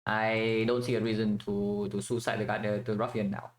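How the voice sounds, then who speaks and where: A man's voice: lowish voice, flat delivery, normal speed, a little hiss or hum. A man from Vietnam giving casual commentary while playing a strategy game, thinking aloud with many fillers and restarts.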